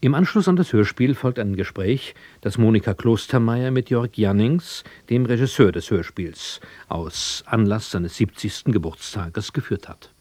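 A male radio announcer reads a scripted introduction. His voice is fairly low. The sound is dry, with no room on it. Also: expressive, moderate pace, hardly any pauses, darkish tone, dry recording.

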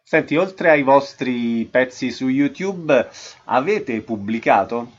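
A man's voice: mid-range voice, animated, takes it slowly. A man interviewing a guest casually, asking questions in a hesitant, attentive way. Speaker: radio interviewer. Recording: slightly dull, lo-fi radio audio.

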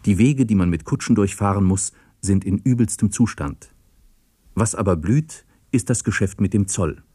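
A man reading a formal scripted story summary in a measured manner. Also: lowish voice, dark tone, husky, no room sound, studio quality. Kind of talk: scripted narration.

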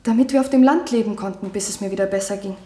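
A woman acting a timid, loyal young daughter on the witness stand in a German radio play, hesitant and soft-spoken. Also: medium pitch, fast, lightly acted. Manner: hesitant, soft-spoken, simple short answers. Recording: roomy 1970 radio drama studio.

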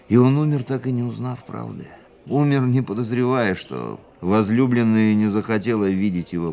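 Low, gravelly, world-weary fatalist. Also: male voice, darkish tone. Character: fatalistic, world-weary male racing driver, philosophical. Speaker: actor in a radio play. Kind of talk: Russian radio drama acting. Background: music.

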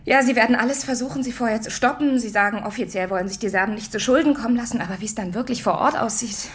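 A woman's voice: a little high, close to an everyday voice. A woman playing a whispering, frightened mother. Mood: anxious. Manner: hushed, halting, mostly whispered. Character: frightened mother whose husband was abducted. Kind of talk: radio play acting.

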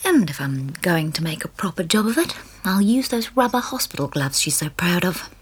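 A well-bred young English wife in a radio play. Her voice is breathy and swings widely in pitch. She sounds highly strung and brave. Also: sing-song, normal speed. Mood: tense brooding. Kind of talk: British radio drama acting.

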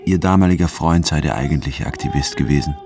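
An actor in a German radio drama playing a narrator who questions his companion in a terse, low-key way. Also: fast talker. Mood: probing, low-key. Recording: loud music under the voice.

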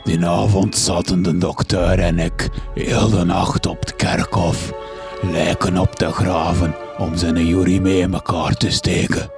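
A deadpan grave-robbing henchman in a gothic comedy radio drama. He speaks in a deep, fry-laden voice, gloomy and matter-of-fact, over music. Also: a little slow. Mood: deadpan, helpful. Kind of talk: gothic horror comedy radio play acting.

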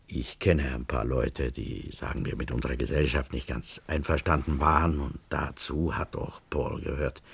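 An actor in a German science fiction radio play, playing a wary, evasive barkeeper with a gravelly, hesitant delivery. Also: male voice, extremely low pitch, no echo, exaggerated voice. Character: wary, evasive barkeeper who knows more than he says.

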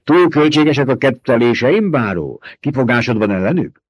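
A man's voice: mid-range voice, very animated, slightly rough, no echo, pitched above the performer's own voice. A man voicing a cunning, bitter male adviser in an audiobook, speaking in a sullen, clipped and sarcastic way, recorded dry and close.

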